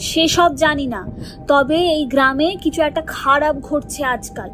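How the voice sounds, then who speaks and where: A woman's voice: sits high, steady tone, moderate pace, fairly bright tone, soft background music. A woman voicing a fearful, superstitious village wife in a cartoon, anxious and insistent in her warnings. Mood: grave, warning.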